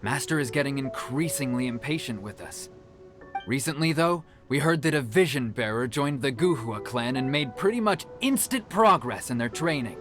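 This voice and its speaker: An actor playing a proud, earnest young martial arts disciple who explains things in a video game. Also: male voice, medium pitch, animated, moderate pace, over music, natural voice. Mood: discouraged, determined.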